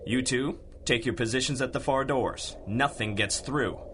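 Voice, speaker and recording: An actor playing a curt, commanding gang boss giving orders in a radio drama. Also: male voice, medium pitch, moderate pace, noisy background, close to an everyday voice. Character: brusque male gang boss barking orders. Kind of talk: old-time radio drama acting.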